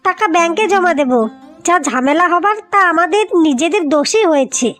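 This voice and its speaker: A woman's voice: very high voice, expressive, brisk, a bit bright, faint music behind. A woman voicing a feisty, proud young wife in a cartoon, with a firm, scolding way of talking. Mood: firm, defiant. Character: feisty, proud young wife defending her family home. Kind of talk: cartoon dialogue.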